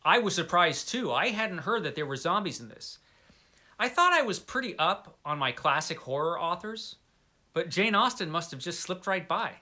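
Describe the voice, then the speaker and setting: A man's voice: high voice, animated, moderate pace, sharp tone. A man hosting a casual book vlog, chatting to the viewer in a playful, tongue-in-cheek way with emphatic declarations. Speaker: book vlogger, host of the channel. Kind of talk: casual chat to camera, answering book tag questions.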